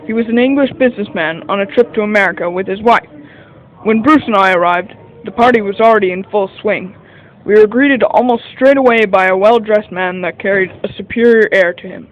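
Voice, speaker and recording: A man's voice: sits high, a little fast, lightly acted, rough recording. A man playing a detective's sidekick who narrates a 1940 radio mystery in the first person. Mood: measured recounting.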